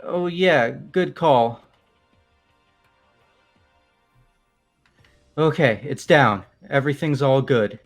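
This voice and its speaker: A man voicing an excitable, nervous, goofy young man in a video game, full of jittery, dramatic bravado. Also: mid-range voice, a little slow, soft background music. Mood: casual. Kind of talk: amateur voice acting of a video game.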